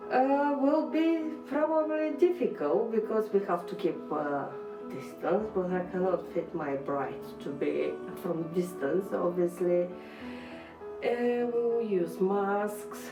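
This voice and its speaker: A woman from Bulgaria with a Bulgarian accent gives an informal personal account in simple non-native English with short pauses. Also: medium pitch. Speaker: dressmaker being interviewed. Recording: roomy, distant mic, music behind.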